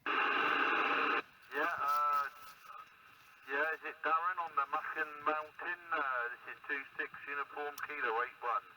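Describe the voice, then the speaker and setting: A man's voice: a little high, steady tone, measured pace, pauses often. Very noisy, distant man over CB radio. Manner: matey, informal radio jargon. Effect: heard over CB radio. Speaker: CB radio operators. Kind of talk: casual radio exchanges.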